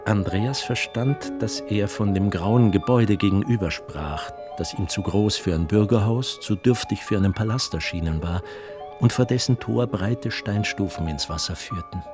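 Deep male narrator reading literary radio play, measured n precise, some piano behind.

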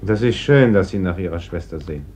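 Low-voiced, courteous young priest. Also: male voice, animated, brisk, darkish tone. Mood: courteous, earnest. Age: young adult. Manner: polite, formal. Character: courteous, earnest young thin village priest. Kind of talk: German radio play acting. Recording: dull, slightly noisy old radio recording.

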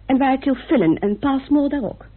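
A woman's voice: high-pitched, brisk, natural voice. An actress playing a caring, argumentative woman, coaxing and insistent, in an old Dutch radio drama. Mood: insistent, questioning. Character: caring, argumentative woman reasoning with her troubled partner. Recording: muffled tinny old radio recording.

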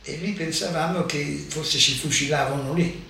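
An older man giving a halting spoken recollection as a witness, repeating words as he goes. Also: on the high side, gravelly, bright, forceful tone. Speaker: war deportee giving testimony. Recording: echoey distant room recording with faint noise.

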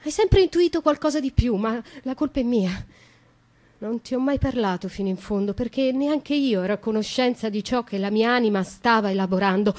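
An actress playing an imprisoned young noblewoman in a theatrical radio monologue, speaking intimately and dramatically with deliberate pauses. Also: mid-range voice, a little mellow, lightly acted. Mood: anguished, confiding. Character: imprisoned young noblewoman, wounded, confiding, bitterly defiant. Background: music.

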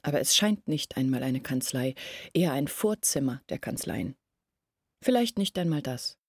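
An actress playing an anxious, reflective village woman in a literary radio play, earnest and deliberate, with a husky, breathy voice. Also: extremely low pitch, expressive, a little mellow, no echo, heavily acted. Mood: troubled, doubting. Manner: earnest, deliberate, searching, questioning herself. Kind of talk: literary radio play acting, long monologues. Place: dry studio.

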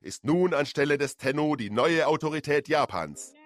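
A man's voice: fairly high pitch, expressive, moderate pace. A man reading scripted historical narration as a radio drama's narrator. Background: music with brass.

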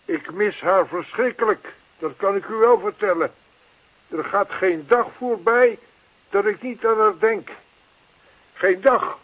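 An actor playing an earnest, lonely old widower in a radio play, talkative and old-fashioned, repeating himself. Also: male voice, high voice, a little slow, narrow, tinny audio. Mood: wistful. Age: older.

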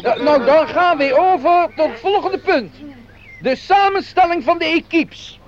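A man's voice: very high-pitched, lively intonation, measured pace. A man acting a fussy, jolly outing organiser in a Dutch radio play, talking like a formal announcer with chuckles. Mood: jovial, officious. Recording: dull radio play recording, busy group behind.

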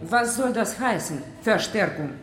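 Woman playing stern hospital nurse, brisk, indignant, lively pitch, long echoing reverb, radio drama. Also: lightly acted. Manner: brisk, firm. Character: strict, indignant female hospital nurse barring the way. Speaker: actor in a radio crime play.

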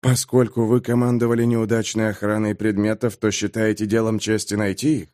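A man's voice: fairly low pitch, flat delivery, fairly quick, darkish tone, no room sound, studio quality, close to an everyday voice. A man playing a subdued, polite captive investigator. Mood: composed, polite.

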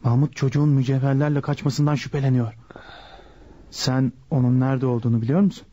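An actor playing a firm, insistent gang lawyer in a radio drama, speaking articulately. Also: male voice, mid-range voice, steady tone, fast talker, mellow, lightly acted. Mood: quiet, probing. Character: assertive male lawyer working for a gang boss. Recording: dull lo-fi radio recording.

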